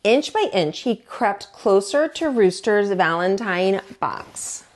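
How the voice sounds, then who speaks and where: A woman reading a children's picture book aloud as a storytime teacher, in an expressive, deliberate way. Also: mid-range voice. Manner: animated, deliberate storytelling delivery.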